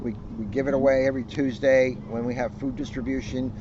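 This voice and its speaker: A man's voice: mid-range voice, level tone, fairly quick. A man with an American accent, a police officer reading a children's storybook aloud and chatting informally outdoors. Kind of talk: storybook read-aloud and informal community talk. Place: outdoors by a street. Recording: noisy, slightly muffled outdoor recording.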